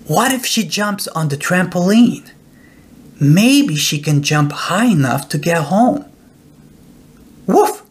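A middle-aged man narrating a children's bedtime story in a deliberate, expressive storytelling way. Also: on the high side. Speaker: author reading own children's book.

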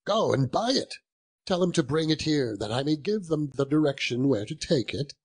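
A man's voice: medium pitch, sing-song, a little slow, darkish tone, husky. A man voicing an elderly reformed miser in a storybook reading, jubilant and effusive, full of exclamations and chuckles. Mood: giddy, delighted.

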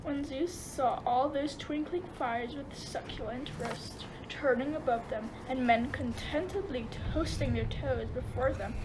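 A woman's voice: high voice, a little slow, few pauses, noisy background. A woman narrating a radio play as a scripted storyteller.

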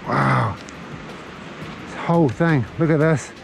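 A man's voice: fairly high pitch, takes it slowly, creaky, music in the background, poor audio. A man, filming himself as he scrambles along sea cliffs and talks through each move, a little short of breath. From New Zealand. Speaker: outdoor adventure vlogger. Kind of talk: self-filmed commentary while climbing. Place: outdoors on a windy coastal cliff. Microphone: head-mounted action camera. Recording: wind noise on an action camera.

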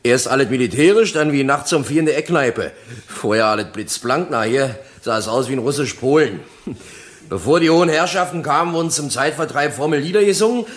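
A man's voice: medium pitch, expressive, brisk, lightly acted. An actor playing a chatty, irreverent working man who testifies in a rambling, colloquial way in a German radio play. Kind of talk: German radio courtroom drama acting.

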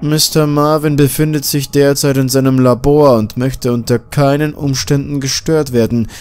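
Castle butler, huge and curt, formal, raised pitch, for a gothic storybook, played by a male narrator. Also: fairly even intonation, normal speed, a little mellow, clear, resonant tone, close to an everyday voice. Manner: formal, terse.

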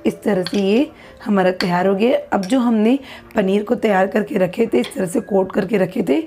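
Mellow-voiced woman walking through a recipe. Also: mid-range voice, slightly rough. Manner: unhurried, repetitive instructional talk. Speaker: cooking channel host. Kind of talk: step-by-step cooking demonstration. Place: home kitchen at a gas stove.

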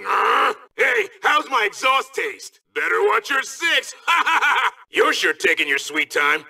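Casting: a cocky, gravelly armored racer bruiser, very high and piercing, slow and drawn out, with some distance from the mic. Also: male voice, very animated, pushed and bright, heavily acted. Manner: cocky, boisterous trash talk. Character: brash muscular male kart racer in armor. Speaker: game character voice. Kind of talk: video game voice clips.

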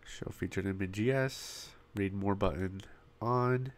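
Slightly deep guy doing a laid-back website tutorial, kinda hoarse, losing his voice, mic pops. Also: a little hiss or hum. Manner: casual step-by-step narration with frequent pauses. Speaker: web design tutorial host. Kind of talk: screen-recorded software walkthrough. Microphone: desktop mic with popping.

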